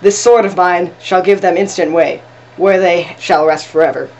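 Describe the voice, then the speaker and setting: A woman's voice: lowish voice, fairly even intonation, normal speed, a little mellow, natural voice. Proud young nobleman played by a woman, distant sound. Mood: challenging. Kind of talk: amateur Shakespearean stage acting. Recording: distant mic, noisy amateur recording.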